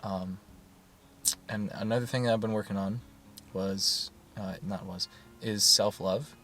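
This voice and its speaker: A man talking in a soft, soothing voice in a casual rambling monologue, full of fillers and restarts. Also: lowish voice, fairly even intonation, measured pace, soft background music. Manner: soft-spoken, meandering, many fillers and restarts. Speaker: YouTuber.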